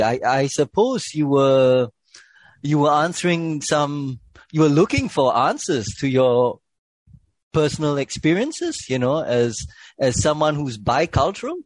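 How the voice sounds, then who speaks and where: A man's voice: fairly high pitch, big pitch swings, a little slow, no echo. A man interviewing a guest, asking questions with hesitant starts and repeated words.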